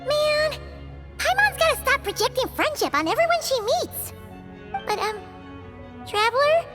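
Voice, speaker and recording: A woman voicing a small, excitable, naive childlike companion creature in a video game cutscene, with a squeaky voice. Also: unhurried, full character voice. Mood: awkward, anxious. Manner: refers to self in third person, exclamatory. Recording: background music throughout.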